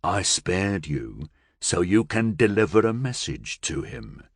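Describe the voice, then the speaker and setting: Man doing a slow purring possessed brute. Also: sits low, very animated, breathy edge, no echo, studio quality, exaggerated voice. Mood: menacing. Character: big possessed man, cold and menacing.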